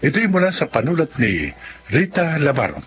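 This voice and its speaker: Announcer: Filipino man, very animated declamatory radio delivery, scripted and formal, muffled with clear background noise. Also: a little high, moderate pace. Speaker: drama announcer. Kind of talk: scripted radio closing narration and credits.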